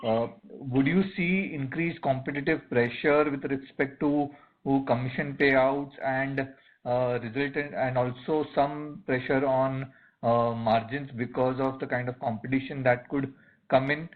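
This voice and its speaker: A man putting a question as an analyst on a conference call, pausing often, over a phone line. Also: medium pitch, steady tone. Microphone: telephone conference call.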